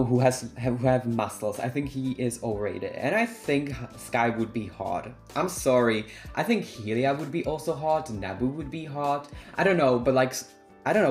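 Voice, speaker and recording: A man's voice: medium pitch, a little mellow. Sassy young man with a Czech accent. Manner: sassy, slangy, dismissive. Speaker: vlogger. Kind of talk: casual opinion rant.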